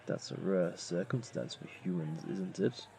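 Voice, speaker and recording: Man voicing a deep, gravelly, unhurried jaguar beastling. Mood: inviting, flirty. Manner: gentle, unhurried, coaxing. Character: flirty, comforting male jaguar beastling, patient restaurant owner. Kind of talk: intimate audio roleplay, addressing the listener.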